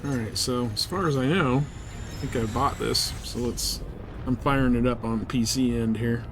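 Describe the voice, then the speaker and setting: A man's voice: medium pitch, moderate pace, noisy background. A man giving casual, slangy live commentary as he streams a video game. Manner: informal, slangy.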